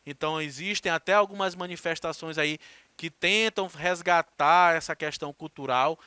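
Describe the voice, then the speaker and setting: A male teacher, high and bright in tone. He explains casually and points out pictures as he goes. The recording is dry and close, with no room sound. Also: moderate pace, clear, resonant tone, no echo. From Maranhão, Brazil. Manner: explanatory, conversational, points at images on screen. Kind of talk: recorded physical education lesson.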